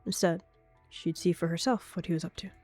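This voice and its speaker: A woman's voice: on the low side, fairly even intonation, fairly quick, a little mellow, faint music behind. A woman narrating an animal fantasy roleplay as a storyteller.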